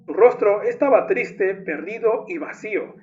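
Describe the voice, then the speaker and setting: A man's voice: high-pitched, unhurried, darkish tone, a little hiss or hum. A man reading scripted narration as a narrator. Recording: dull lo-fi audio with music. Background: music.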